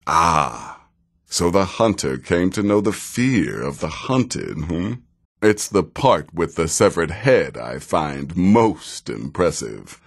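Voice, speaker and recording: Nazir, very deep, sardonic assassin. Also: male voice, full character voice. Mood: sardonic, amused. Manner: deadpan, sardonic, deliberate. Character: Nazir, cynical seasoned male assassin with dry wit. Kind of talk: video game character dialogue.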